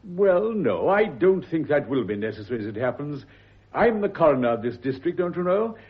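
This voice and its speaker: An actor playing a genial, avuncular older country doctor in a radio drama, self-assured. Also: male voice, a little high, sing-song, fairly quick. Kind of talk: British radio drama acting. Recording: dull, lo-fi radio recording.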